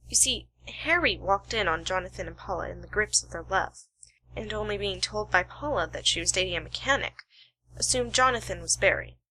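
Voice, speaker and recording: Bright-voiced woman as a shrewd detective. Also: medium pitch, low-quality recording. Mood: explaining. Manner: deliberate, dramatic explaining with rhetorical questions. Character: shrewd, self-assured female detective. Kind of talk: amateur radio play acting.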